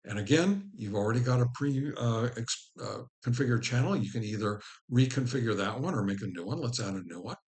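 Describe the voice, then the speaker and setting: A man's voice: medium pitch, expressive, fairly quick, few pauses, a little mellow. A man with a mid-range voice hosts a how-to tutorial. He explains each step informally and speaks straight to the viewer as he instructs. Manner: instructional, addresses the viewer directly. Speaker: host of a ham radio how-to channel.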